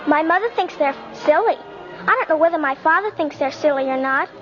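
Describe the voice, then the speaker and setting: A woman's voice: high voice, animated, a little fast, fairly bright tone, faint music behind. An actress playing a precocious, skeptical little girl in a 1950s radio drama, speaking in a precise, blunt, matter-of-fact way. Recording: muffled old 1950s radio recording.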